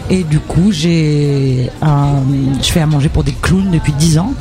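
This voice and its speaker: A woman from northern Charente in France, a festival performer, chatting casually and lively as a guest on a festival radio show. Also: sits low, measured pace, over music. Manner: lively, talkative, switches to familiar tu. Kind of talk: casual radio interview chat.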